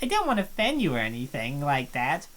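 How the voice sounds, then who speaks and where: A woman's voice: low voice, wildly swooping pitch, fairly quick, pitched below the performer's own voice. A woman voicing a hesitant, somewhat apologetic college girl in a fan-voiced visual novel. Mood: doubtful.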